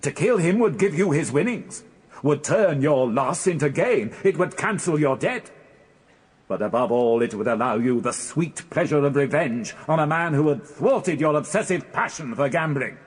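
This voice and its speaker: Stern, husky British barrister. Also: male voice, a little high, animated. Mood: accusing. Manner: formal, insistent, deliberate, accusing questioning. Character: stern, relentless male prosecuting barrister in court. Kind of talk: British courtroom radio drama.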